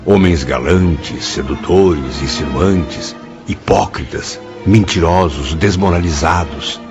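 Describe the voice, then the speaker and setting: A Brazilian actor playing a solemn, shaken spirit who narrates grim memories in a radio drama. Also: male voice, low-pitched, slow pace, dull audio. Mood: grave. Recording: band-limited, music under the voice. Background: dramatic background music.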